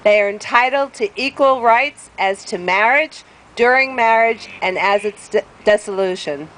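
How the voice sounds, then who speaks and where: A woman's voice: a little high, normal speed, a bit bright. Woman, public reader, formal document read aloud, outdoor plaza, faint background noise. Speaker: public reader at a rights event. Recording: noisy outdoor ambient recording.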